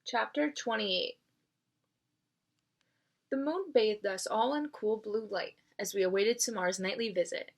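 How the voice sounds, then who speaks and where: A woman's voice: mid-range voice, measured pace, vocal fry, pauses often. Woman teacher reading aloud to students. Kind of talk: read-aloud lesson with storybook narration.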